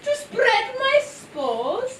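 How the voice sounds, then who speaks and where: A woman's voice: very high voice, very animated, extremely slow, fairly bright tone, full character voice. A woman voicing a vain, genteel lady mushroom in a puppet show, with affected, theatrical airs. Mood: offended, proud. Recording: roomy, distant live bootleg, faint noise.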